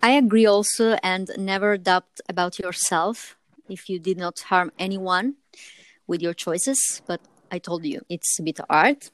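Smooth-voiced woman podcast host, late twenties. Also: lowish voice, unhurried, no echo. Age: late 20s. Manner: hesitant, many fillers and restarts. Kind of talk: casual interview chat.

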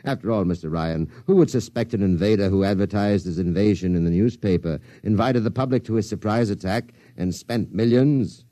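An actor playing a calm, mocking Martian invader disguised as a man, in a 1950s radio drama. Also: fairly low pitch, brisk, hardly any pauses, natural voice. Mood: sinister. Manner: soft, calm, mocking menace. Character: sinister smooth male Martian invader in disguise.

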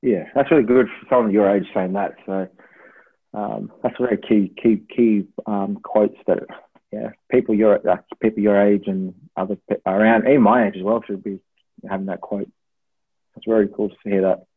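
Husky Australian man on a phone-like line. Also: on the low side, steady tone, normal speed. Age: 20s. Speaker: podcast guest, university student. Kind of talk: casual interview chat. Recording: thin phone-like line with room echo.

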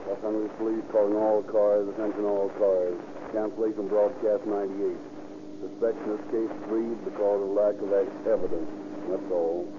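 A terse American police dispatcher, played by a man in a 1930s radio drama, low and monotone, clipped and official. The sound is a muffled old recording. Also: normal speed, background noise, close to an everyday voice. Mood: flat, official.

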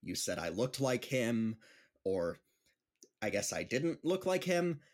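A man's voice: mid-range voice, sing-song, takes it slowly, darkish tone. A man playing an upbeat, chatty friend and amateur comic in an audio drama, with a playful, teasing manner. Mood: casual, puzzled.

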